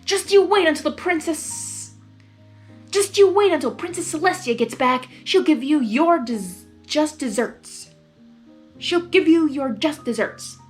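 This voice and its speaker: A woman's voice: high voice, lively intonation, measured pace, soft background music, voice pushed up. A woman voicing a stern, indignant young pony princess in a story read aloud.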